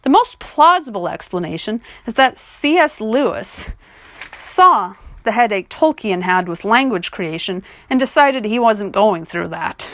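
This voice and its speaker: A woman's voice: mid-range voice, expressive, a little slow, a bit bright, no echo. A woman delivering scripted video essay commentary in an articulate, questioning manner.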